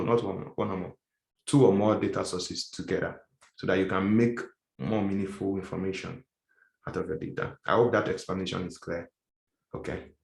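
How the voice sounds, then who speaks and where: A man's voice: lowish voice, echoey room. A male instructor giving a casual lecture, explaining step by step and often repeating and rephrasing his points. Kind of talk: classroom lecture.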